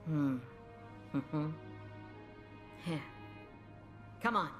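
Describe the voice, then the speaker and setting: Game role: seasoned older female field researcher and mentor, lowish voice, musing, assured, music underneath. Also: lively intonation, very slow, full character voice. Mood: musing, reverent. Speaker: game voice actor. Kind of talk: dramatic video game cutscene acting.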